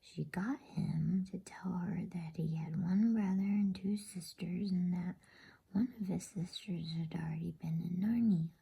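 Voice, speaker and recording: A woman narrating a bedtime story in ASMR style, whispering in a soft, soothing, unhurried way. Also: lowish voice, fairly even intonation, gravelly, breathy edge.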